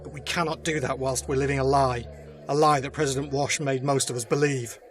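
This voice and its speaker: A man's voice: medium pitch, fairly even intonation, fairly quick, a bit bright, soft background music, lightly acted. A man playing a humble soldier turned leader in an audio drama, solemn and oratorical. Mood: solemn, rousing. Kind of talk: fan audio drama acting, speechmaking.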